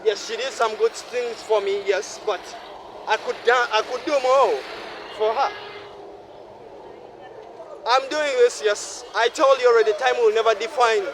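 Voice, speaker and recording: Young ugandan guy, high voice, preaching at himself on a night walk, faint traffic behind. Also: fairly even intonation, measured pace, long pauses. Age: 20s. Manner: repetitive, preachy, talks to himself in loops. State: sweaty after walking fast. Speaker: vlogger. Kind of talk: rambling night-walk monologue. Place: city street at night.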